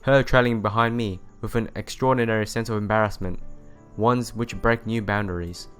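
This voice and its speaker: Low-voiced man narrating a visual novel. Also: steady tone, normal speed, fairly bright tone. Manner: stumbles over text and rereads phrases. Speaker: let's player. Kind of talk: reading visual novel narration aloud, expressive storytelling. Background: music.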